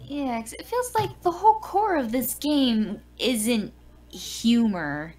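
A woman's voice: on the high side, lively intonation, slow, a little mellow. A woman talking casually in a game discussion.

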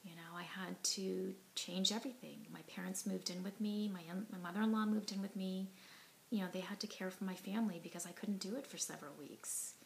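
Earnest woman in her forties, slightly gravelly. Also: medium pitch, fairly even intonation, fairly quick, slight background noise, poor audio. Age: 40s. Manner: earnest and reflective, often soft and breathy, with frequent ums and you knows. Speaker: heart attack survivor telling her story. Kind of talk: personal testimony to camera. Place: living room at home, indoors. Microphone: device camera at arm's length, sitting close.

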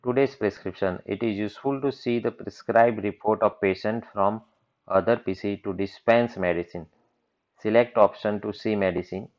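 A man with a very low voice explains software screens in a plain, matter-of-fact way. The sound is dull and lo-fi, like a basic computer mic. He moves step by step through each screen. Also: steady tone, moderate pace. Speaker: software demonstrator. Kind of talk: screen-recorded software walkthrough.

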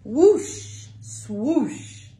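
A middle-aged woman reading a picture book aloud to young children in a deliberate sing-song way. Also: sits high, sing-song, dark tone. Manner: sing-song, deliberate, asks listeners questions. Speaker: library story time reader. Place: small indoor room with block walls. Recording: echoey small room.

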